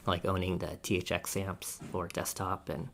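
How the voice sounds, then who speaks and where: A man's voice: low voice, level tone, moderate pace, creaky, a bit bright, a little hiss or hum. A man talking casually as a reviewer on a podcast panel about gear, often chuckling between points. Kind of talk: casual roundtable discussion.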